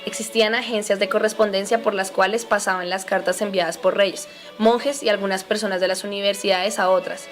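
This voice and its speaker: Storytelling narrator played by a woman, medium pitch, scripted and informative, educational radio drama with clear music. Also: steady tone, brisk, natural voice. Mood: explanatory. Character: explanatory female narrator who joins the story.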